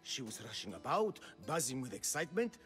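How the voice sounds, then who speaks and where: A troubled villager in a game cutscene, mid-pitched and earnest. He delivers his words with formal urgency over light music. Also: sing-song, a little fast, fairly bright tone. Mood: alarmed, grave. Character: earnest, worried villager bearing bad news. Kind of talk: video game cutscene acting.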